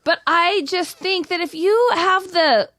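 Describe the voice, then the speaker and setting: Woman, morning show co-host, raspy from singing, enthusiastic casual chat, high voice, dry close sound. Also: unhurried, a bit bright, no room sound. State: raspy voice from singing the night before.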